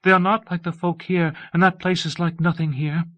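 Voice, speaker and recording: Man voicing a soft, childlike, pleading old abbess. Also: lowish voice, animated, a little slow, dry recording, pitched below the performer's own voice. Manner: dreamy, breathless, pleading. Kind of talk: dramatic audiobook storytelling.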